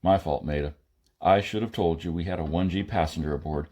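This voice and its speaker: A man voicing a gruff, no-nonsense spaceman with a curt, matter-of-fact delivery in an audiobook. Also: deep voice, brisk, darkish tone, a little hiss or hum, voice pushed down, lightly acted. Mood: gruff, brusque.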